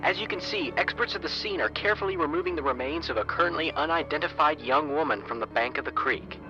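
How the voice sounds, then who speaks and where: A man's voice: on the high side, fast talker, highs cut off, over music. A man playing a TV news anchor in an amateur radio drama, with a polished newscaster delivery, heard from a TV set.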